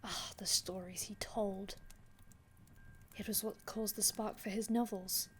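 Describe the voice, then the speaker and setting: A woman's voice: mid-range voice, steady tone, a little slow, a little hiss or hum, natural voice. A woman playing a courteous, ancient vampire healer in an ASMR roleplay, speaking formally and reassuringly with archaic phrasing and small stammers. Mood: soft, reflective. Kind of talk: ASMR roleplay, addressing the listener.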